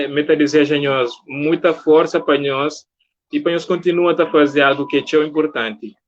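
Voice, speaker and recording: A man with a fairly high voice hosts a casual live stream. He reads out comments and speaks encouragingly and appreciatively. The sound is a little dull and lo-fi. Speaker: live-stream interview host. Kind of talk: casual live interview, reading out viewer comments. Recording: muffled lo-fi online stream.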